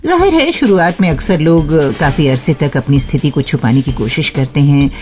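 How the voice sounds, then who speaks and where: A woman's voice: deep voice, expressive, moderate pace, a little mellow, faint music behind. A woman hosting a radio show, reading a scripted narration expressively and addressing listeners as friends.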